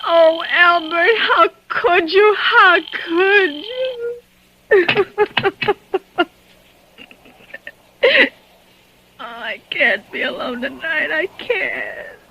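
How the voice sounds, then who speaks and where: A woman's voice: extremely high pitch, lively intonation, very slow, a little hiss or hum, exaggerated voice. An actress playing a nervous, demanding invalid woman in a 1940s radio play, stammering and tearful. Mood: despairing. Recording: dull lo-fi 1940s radio broadcast.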